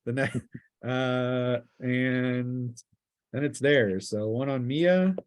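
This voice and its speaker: A man's voice: mid-range voice, steady tone, extremely slow. A man speaking casually as game master, narrating a tabletop role-playing game with vivid sound-effect words.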